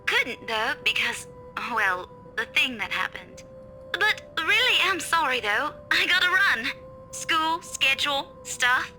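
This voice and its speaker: A woman's voice: sits high, very animated, slow pace, sharp tone, soft background music, heavily acted. A woman playing a friendly, awkward young schoolteacher in a visual novel, speaking in informal, clipped, hesitant phrases.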